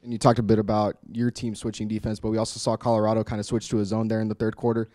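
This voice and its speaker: Brief: male reporter, lowish voice, fast direct questions. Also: completely flat delivery, dark tone, dry recording, studio quality. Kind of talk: press questions.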